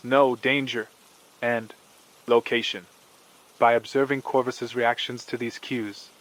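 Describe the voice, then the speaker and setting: Male narrator for scripted horror fiction. Mid-pitched voice, measured and formal storytelling delivery. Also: rough recording.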